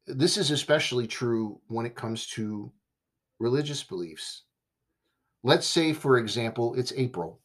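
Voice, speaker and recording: A man from the United States giving a reflective, earnest monologue in a measured way. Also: medium pitch, expressive.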